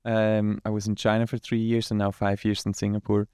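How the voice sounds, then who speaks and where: Guy on a podcast, low smooth voice, lots of ums, dry sound. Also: fairly quick, no echo. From Switzerland. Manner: relaxed, with hesitant um fillers. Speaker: guest, venture partner. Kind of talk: casual interview answers.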